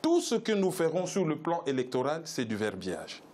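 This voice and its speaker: A man's voice: on the high side, huge pitch swings, moderate pace, darkish tone. A man arguing as a political panel guest in an emphatic, rhetorical way, driving points home with repetition. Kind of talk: political debate commentary.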